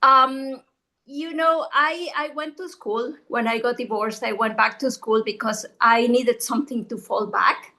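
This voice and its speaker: A woman's voice: fairly high pitch, fairly even intonation, a little slow, sharp tone. A middle-aged woman from Ecuador with an Ecuadorian Spanish accent, a guest realtor telling her story in earnest in a casual interview. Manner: earnest, storytelling, many restarts.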